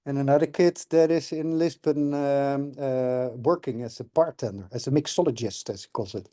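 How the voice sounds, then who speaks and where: A man's voice: medium pitch, normal speed, dark tone. A middle-aged man from the Netherlands, a guest explaining things on a video call and often checking in with "correct?". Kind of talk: casual call conversation.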